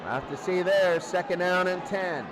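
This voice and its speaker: Male sports announcer, high register. Terse live football calls over clear noise. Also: moderate pace. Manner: terse calls, repeating down and yardage. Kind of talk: live American football commentary. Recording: clearly noisy recording.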